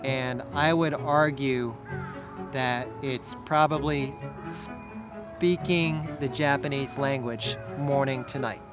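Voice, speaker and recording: A man's voice: medium pitch, fairly even intonation, slow. Thoughtful American-born man over music. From United States. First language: English. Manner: thoughtful, hesitant with fillers. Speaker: interviewee. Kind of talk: reflective interview.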